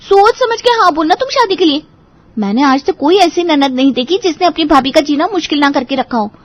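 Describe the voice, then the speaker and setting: A woman's voice: high voice, lively intonation, fairly quick, no room sound. A woman playing a young married friend who is blunt, cynical and emphatic in her warnings, in an audio story drama. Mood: bitter warning.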